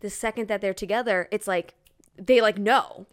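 A young woman co-hosting a book podcast in casual, excitable, slangy chat peppered with "like". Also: medium pitch, moderate pace. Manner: slangy, excitable, filler-heavy "like".

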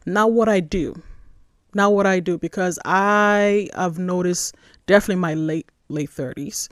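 A woman's voice: on the low side, measured pace, no echo, studio quality. A woman in her forties from Nigeria, born in New York, hosting a devotional podcast in a conversational, emphatic way. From born in New York, raised in Nigeria. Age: 40s. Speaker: podcast host, church spiritual formation director. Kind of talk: casual devotional podcast talk.

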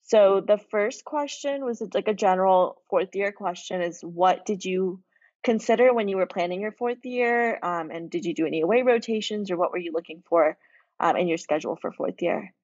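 A woman's voice: mid-range voice, moderate pace, darkish tone, dry recording. A woman moderating a casual panel of medical graduates, with a casual manner full of fillers. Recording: dull lo-fi audio, dry.

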